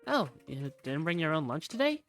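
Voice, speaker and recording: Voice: mid-range voice, very animated, normal speed, fairly bright tone. A performer playing a bookish, teasing student who narrates school life in a visual novel, with music behind. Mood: playful teasing. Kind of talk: first-person visual novel narration and dialogue.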